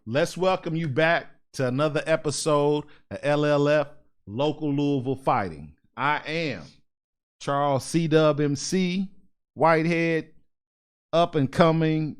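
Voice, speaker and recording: Man, podcast host, casual interview, animated, joking, repeats himself, fairly high voice. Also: clear, resonant tone. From United States. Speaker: podcast host and ring announcer.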